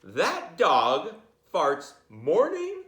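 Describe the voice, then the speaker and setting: Guy reading as a fed-up storybook dad, stern and exasperated, pitch jumping around, roomy echo. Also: huge pitch swings, extremely slow, fairly bright tone, exaggerated voice. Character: exasperated father fed up with the dog. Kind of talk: storybook read-aloud. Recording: roomy, echoey sound.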